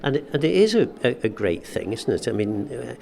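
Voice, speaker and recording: A man's voice: mid-range voice, big pitch swings, fairly quick, gravelly, a little mellow, a little hiss or hum. A middle-aged man interviewing a guest on the radio, stammering and repeating words as he asks leading questions.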